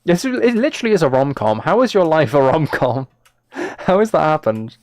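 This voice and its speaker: Clear-voiced young British man, casual streamer. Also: fairly high pitch, very animated, moderate pace, a little mellow, dry recording. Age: late teens to early 20s. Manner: playful mock-exasperation, wry sarcasm. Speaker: live streamer. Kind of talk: casual gaming livestream chat, commentary.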